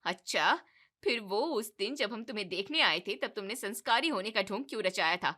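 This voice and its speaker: A woman voicing a strict middle-aged mother-in-law in a cartoon story, nagging in a loud, scolding way. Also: mid-range voice, animated, brisk, pitched below the performer's own voice. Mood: exasperated.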